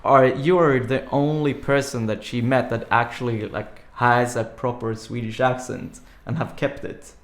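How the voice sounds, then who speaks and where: Guy rambling on a live stream, lots of "like"s, echoey room, mid pitch. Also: steady tone, measured pace, hardly any pauses. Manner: rambling, many "like" fillers, laughs easily. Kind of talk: casual live-stream chat. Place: home room.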